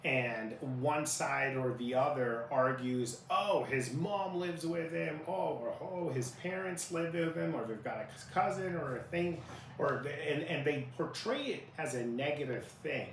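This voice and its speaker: A man's voice: on the high side, a little slow, creaky. An echoey, slightly distant recording with faint noise carries a man in his thirties telling his story. He is from Iraq and grew up in Utah, with Arabic as his native language, and he talks conversationally as an interview guest. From born in Iraq, grew up in Utah. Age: 30s. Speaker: interview guest, family lawyer.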